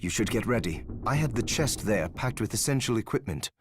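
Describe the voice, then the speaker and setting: An actor playing a guilt-ridden, loyal alchemist in a video game, grave and measured in his speech. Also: on the low side, lively intonation, background noise. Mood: grave, explanatory. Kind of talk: video game cutscene acting.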